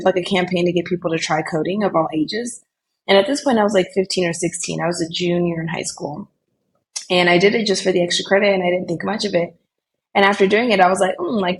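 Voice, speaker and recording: A woman's voice: fairly low pitch, a little hiss or hum, rough recording. A young woman from California talking casually as a podcast guest, with lots of "like" and "you know". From Colombia, raised in California Bay Area. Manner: chatty, frequent "like" and "you know". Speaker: podcast guest, developer relations lead. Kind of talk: casual interview chat.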